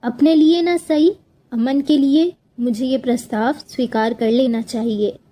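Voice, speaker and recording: Lady doing a devoted worn-down mom in a cartoon story, high but mellow voice. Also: fairly even intonation, a little slow. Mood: resigned. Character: devoted, burdened mother. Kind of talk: acted cartoon story dialogue.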